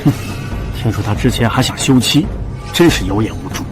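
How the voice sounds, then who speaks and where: A man's voice: fairly low pitch, lively intonation, a little fast, over music, natural voice. An actor playing an outspoken male court official in a period short drama. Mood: indignant.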